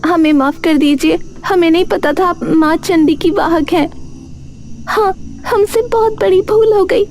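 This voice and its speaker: A woman's voice: extremely high pitch, a little slow, darkish tone, a little hiss or hum. A woman voicing an earnest, brave young girl in a cartoon story. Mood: apologetic. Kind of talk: Hindi children's cartoon story acting. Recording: music under the voice.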